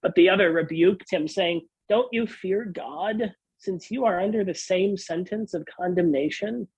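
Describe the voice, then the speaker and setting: A man reading scripture as a pastor, in a solemn, measured and deliberate manner. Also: high voice, darkish tone, husky.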